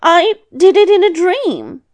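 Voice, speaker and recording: Casting: female reader as elderly fairy-tale grandmother, high voice, sly, scolding yet soothing, drawn-out delivery. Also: wildly swooping pitch, exaggerated voice. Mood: plaintive. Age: older.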